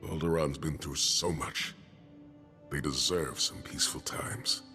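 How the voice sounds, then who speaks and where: Older male commander, deep, seasoned, wry, grateful, video game, prominent music. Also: fairly even intonation, measured pace. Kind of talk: video game cutscene dialogue.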